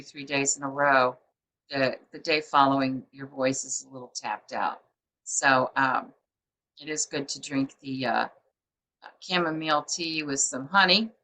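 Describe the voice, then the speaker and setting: A woman host with a low, forceful voice talks her way through a book show on a video call. She rambles along chattily and leans on plenty of "uh"s and "um"s. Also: poor audio. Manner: chatty, rambling, many fillers. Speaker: publisher hosting a book show. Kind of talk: casual interview chat.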